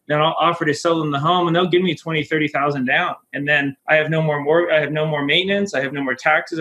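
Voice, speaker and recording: Higher-pitched guy being interviewed, emphatic, explains stuff with examples and little stories. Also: fairly bright tone. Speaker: interview guest, real estate investor. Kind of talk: conversational interview talk with anecdotes.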